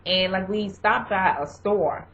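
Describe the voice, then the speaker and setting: A young woman vlogger giving casual advice, rambling and filling pauses with "you know" and "um". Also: medium pitch, a bit bright. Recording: dull lo-fi recording.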